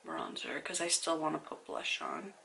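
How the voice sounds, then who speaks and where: Stuffy-nosed woman with a gravelly bright voice. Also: low voice, huge pitch swings, moderate pace, low-quality recording. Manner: chatty, storytelling, asides to the viewer. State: stuffy nose from a sinus infection. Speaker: beauty vlogger. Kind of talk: casual makeup tutorial talk.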